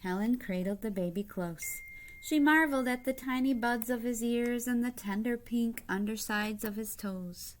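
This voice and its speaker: A woman's voice: fairly high pitch, moderate pace, slight background noise. A woman reading a picture book aloud like a teacher, explaining as she goes and putting simple questions to young listeners.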